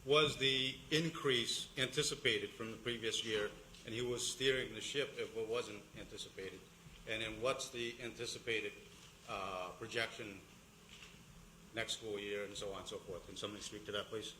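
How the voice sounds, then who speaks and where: A loud, direct man asking questions from the floor of a public meeting. His voice sits fairly low. The recording is echoey, with clear background noise from the hall. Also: steady tone, normal speed.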